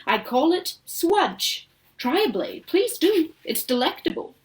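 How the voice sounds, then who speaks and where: A woman's voice: high voice, sing-song, slow, distant mic, a little hiss or hum, voice pushed up, heavily acted. A woman voicing an eccentric, boastful male chocolate maker, proud and showy in manner.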